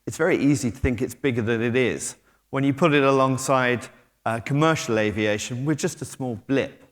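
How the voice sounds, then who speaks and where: British man, middle-aged, medium pitch, conference speaker, chatty with ums, echoing hall. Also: moderate pace. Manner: conversational, hesitant with frequent ums and restarts. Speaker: conference speaker, technology company head. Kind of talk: conference keynote talk. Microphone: stage mic, moving about while talking. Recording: long hall reverb.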